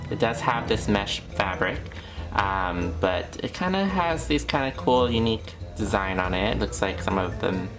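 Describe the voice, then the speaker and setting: A man's voice: on the low side, animated, moderate pace, music in the background. A man co-hosting a review channel, explaining product details in an informal, hedging, chatty way. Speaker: doll review channel co-host. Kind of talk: casual product review chat.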